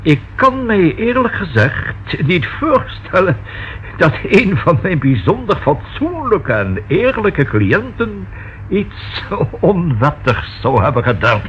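Dignified old notary for radio drama: highish, animated pitch, formal and wordy, dull old recording. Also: male voice, takes it slowly, slight background noise, exaggerated voice. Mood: courteous, measured. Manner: polite, precise, wordy and dignified. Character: formal, courteous old male lawyer and notary. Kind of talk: Dutch radio play acting.

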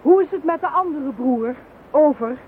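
An actress playing a brisk, commanding female police inspector with dry sarcasm. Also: high voice, expressive, a little hiss or hum. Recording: tinny lo-fi old radio recording.

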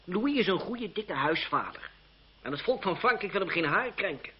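An actor playing a cynical, witty young French lawyer in a radio drama, with an ironic, condescending, urbane manner. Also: male voice, high-pitched, very animated, moderate pace, a crowd in the background. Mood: scornful, insistent. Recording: muffled, hissy old radio drama recording.

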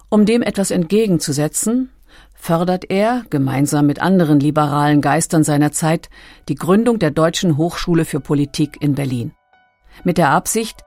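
A woman reading scripted documentary narration in a measured, factual manner. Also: low-pitched, a little mellow, no room sound, studio quality.

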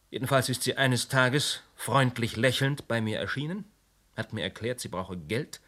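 An actor in a radio play playing a guarded, dignified man under police questioning who speaks in a measured way. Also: lowish voice, fairly bright tone. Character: reserved middle-aged man being questioned, guarded and dignified. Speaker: suspect Monsieur Cernik.

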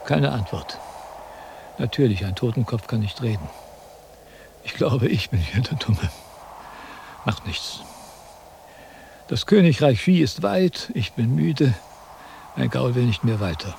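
An older actor playing a vain, grumbling old wandering philosopher in a radio drama, declaiming theatrically and questioning himself. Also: male voice, medium pitch, lively intonation, measured pace, gravelly, pauses often. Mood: musing. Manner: declamatory, self-questioning, cantankerous. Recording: noisy 1970s radio drama with sound effects.

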